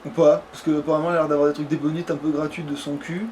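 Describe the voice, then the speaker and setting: A man's voice: on the high side, level tone, racing, mellow, slight background noise. A man chatting casually as a let's player, in a crude, slangy, grumbling way. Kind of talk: casual gaming chat.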